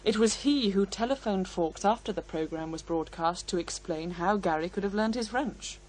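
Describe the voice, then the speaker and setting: A woman's voice: mid-range voice, moderate pace, darkish tone, natural voice, poor audio. Actress as pointed, scornful, composed woman. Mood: firm, explaining. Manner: pointed, articulate, firm. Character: bitter, composed, scornful daughter correcting the record. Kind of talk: radio drama acting.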